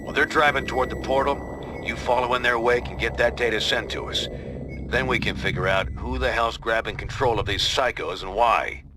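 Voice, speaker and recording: An actor with an American accent playing a gruff, blunt male commander giving a mission briefing in a video game. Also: medium pitch, normal speed, natural voice. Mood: urgent, businesslike. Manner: blunt, colloquial. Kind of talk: scripted game cutscene acting. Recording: clear background noise.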